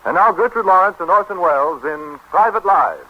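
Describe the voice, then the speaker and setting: A man's voice: sits high, expressive, a little fast. An American man announcing on 1930s radio, with a polished, enthusiastic salesman's delivery. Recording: dull, hissy 1930s radio broadcast.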